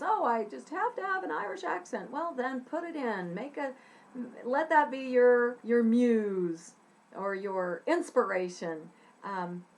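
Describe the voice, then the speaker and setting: A middle-aged woman from Texas teaching scriptwriting in a chatty, animated way, talking to a webcam in a small room. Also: mid-range voice. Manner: chatty, animated, gesturing with her hands. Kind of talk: casual talk to camera. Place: small room with a dark curtain behind.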